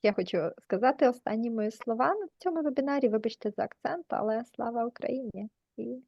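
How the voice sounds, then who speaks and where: A woman's voice: fairly high pitch, fast, vocal fry, darkish tone, dry recording. A Ukrainian woman working as a consecutive interpreter on an online call, with a Ukrainian accent. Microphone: online call microphone. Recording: dull, muffled online call audio.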